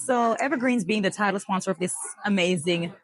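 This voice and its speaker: A woman's voice: medium pitch, brisk. A woman hosting a casual talk show from a coffee shop, enthusiastic and upbeat. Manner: upbeat, enthusiastic, warm promoter. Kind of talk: casual interview chat.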